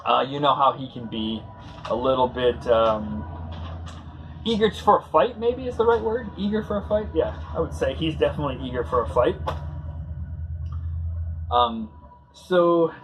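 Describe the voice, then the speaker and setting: A man hosting a casual read-along commentary, talking in a halting, conversational way as he searches for words. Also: mid-range voice, expressive, noisy background. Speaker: host.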